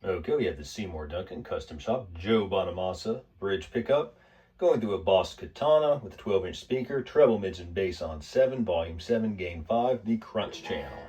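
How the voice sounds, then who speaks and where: A man's voice: low voice, lively intonation, normal speed, warm tone, husky. A man giving a casual product review and demo as a guitar gear reviewer.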